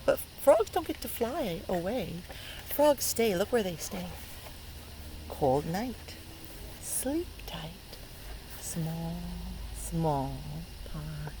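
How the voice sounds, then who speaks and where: A woman reading a picture book to young children in a playful, expressive way, pausing to ask them questions, recorded outdoors. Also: fairly low pitch, poor audio. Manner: playful, expressive, asks children questions, acts out sound words. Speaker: early learning teacher reading a picture book aloud. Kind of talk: interactive read-aloud for young children. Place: outdoors by a lake. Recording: steady outdoor ambient noise by water. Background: outdoor lakeside ambience.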